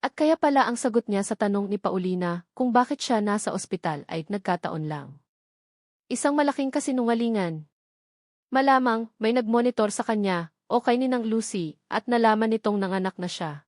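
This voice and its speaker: A woman's voice: a little high, animated, normal speed, darkish tone, no echo, studio quality. A woman narrating a romantic drama audiobook in a scripted, dramatic storytelling style.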